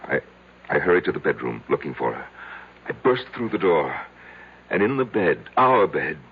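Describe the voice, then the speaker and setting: An actor in a radio drama playing a lonely, self-loathing middle-aged man who confesses haltingly to his psychiatrist. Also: low-pitched, very animated, breathy edge, full character voice. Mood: anguished. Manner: halting, hesitant, repeating himself. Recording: tinny, band-limited old radio broadcast.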